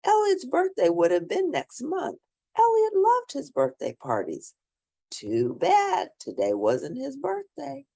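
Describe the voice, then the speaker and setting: A woman reading a children's picture book aloud, expressively, with theatrical, drawn-out emphasis for young listeners. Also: high-pitched, huge pitch swings, vocal fry, mellow, dry recording, clean studio sound. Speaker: storybook reader.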